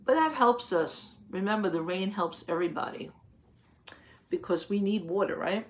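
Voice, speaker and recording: A woman reading a picture book aloud to young children, explaining it as a teacher would and asking simple questions, with deliberate, drawn-out phrasing. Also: mid-range voice, expressive, poor audio.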